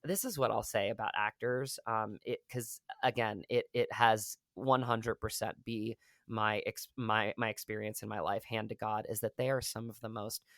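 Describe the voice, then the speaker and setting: A man's voice: fairly low pitch, steady tone, moderate pace, a bit bright, dry recording, studio quality. A man, an actor being interviewed, talking earnestly and at length with many fillers and restarts. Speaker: actor, podcast guest. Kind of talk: casual interview talk.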